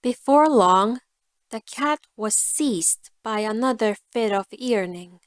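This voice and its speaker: Woman narrating a fairy tale, really slow and careful, higher voice, dry recording. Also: animated, no echo. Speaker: storyteller. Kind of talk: scripted fairy tale narration for language learners.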